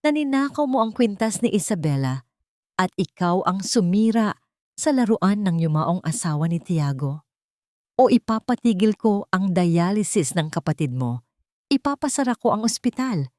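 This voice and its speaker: A woman voicing a cold, threatening, wealthy matriarch in an audio drama, speaking deliberately. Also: mid-range voice, sing-song, darkish tone, no room sound, clean studio sound, close to an everyday voice. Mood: cold menace. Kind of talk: Tagalog audio drama storytelling.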